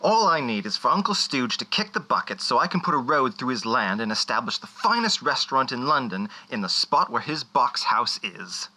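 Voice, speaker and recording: A man playing a greedy young nephew in a parody radio play, husky and pompous. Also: medium pitch, big pitch swings, normal speed, hardly any pauses, bright, forceful tone, exaggerated voice. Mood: smug, scheming. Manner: pompous, mock-formal. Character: greedy, smug young nephew, mock-refined schemer.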